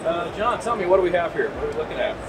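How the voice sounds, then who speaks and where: Guy in his 30s hosting an expo interview, talks fast, really noisy hall around him. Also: high voice, animated. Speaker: interviewer for an audio retailer. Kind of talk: upbeat trade show video interview. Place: busy indoor convention hall booth. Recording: loud trade show hall, distant mic. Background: loud exhibition hall ambience.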